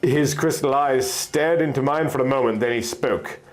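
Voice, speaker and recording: A man reading scripted fantasy fiction as an expressive story narrator. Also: mid-range voice, normal speed.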